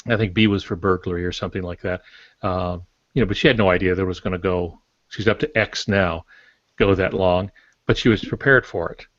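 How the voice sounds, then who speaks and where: An older man, a novelist, talking casually on a writing podcast in a halting, matter-of-fact, anecdotal way. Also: low voice, fairly even intonation, a bit bright, no echo. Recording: dull lo-fi podcast audio.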